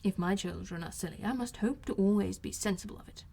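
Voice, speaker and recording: A woman voicing a cool, sardonic middle-aged father in an audiobook, deadpan and measured. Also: medium pitch, vocal fry, husky. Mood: teasing irony.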